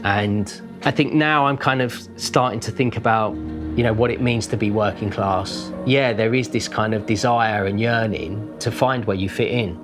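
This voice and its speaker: A man's voice: fairly low pitch, moderate pace, over music. A man with a London accent, a painter speaking reflectively in a documentary interview, informal and thoughtful. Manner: thoughtful, informal, with many "kind of" fillers. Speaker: working-class painter.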